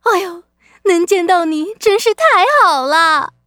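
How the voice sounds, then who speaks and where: A woman's voice: very high-pitched, unhurried, fairly bright tone, no echo, clean studio sound. A woman voicing a kindly, gushing witch aunt who runs a circus, in a children's radio drama. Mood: warm, reassuring. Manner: gushing, chatty, full of exclamations. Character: kindly, bubbly witch aunt, frog-doting circus ringleader.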